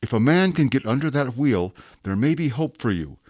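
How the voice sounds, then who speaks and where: An actor playing a stern, relentless police inspector in an audio drama, cold and commanding. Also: male voice, medium pitch, animated, moderate pace, a little mellow, dry recording, clean studio sound, close to an everyday voice. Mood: urgent. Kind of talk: radio drama acting.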